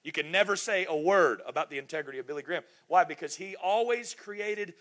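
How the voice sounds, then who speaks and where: A man's voice: high-pitched, fairly quick, fairly bright tone. A middle-aged man preaching a sermon in a church, emphatic and repetitive, with rhetorical questions. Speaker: pastor.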